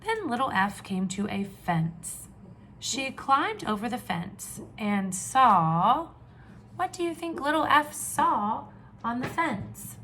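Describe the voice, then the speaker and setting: A woman teacher reads a children's book aloud in a bright voice. She takes her time and uses expressive, questioning phrasing with plenty of rise and fall. There is faint noise behind her. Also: fairly high pitch. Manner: expressive, questioning, drawn-out emphasis. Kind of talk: children's read-aloud lesson.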